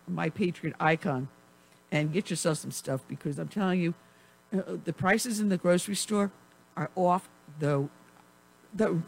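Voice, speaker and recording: A woman hosting a talk radio show, chatting in a rambling way with frequent laughs while her voice is going hoarse. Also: fairly low pitch, poor audio. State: losing her voice. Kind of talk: casual talk radio chat.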